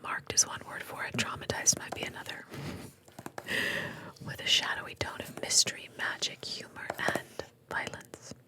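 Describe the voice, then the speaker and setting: Woman whispering a bedtime fairy tale. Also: moderate pace. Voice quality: breathy, unvoiced whisper. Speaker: storyteller reading fairy tales aloud. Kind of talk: whispered ASMR storytelling with asides.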